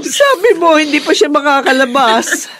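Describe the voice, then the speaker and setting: An actress in a Filipino radio drama playing an ailing, doting old mother, breathy and frail, with halting, emotional delivery. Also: high voice, sing-song, crowd noise. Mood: tearful joy.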